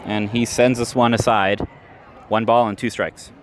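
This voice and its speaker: A man calling baseball play-by-play in radio style, measured between pitches and lifting sharply on big plays. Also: little pitch movement. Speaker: play-by-play announcer. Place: ballpark stands, outdoors. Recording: live ballpark recording with outdoor noise.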